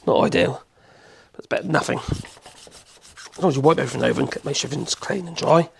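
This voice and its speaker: A man with a cold, hoarse, chatting in a rambling way as he demonstrates in his workshop. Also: mid-range voice, quick pace, noisy background. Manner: rambling, chatty, self-correcting, chuckles. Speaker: woodworking channel host.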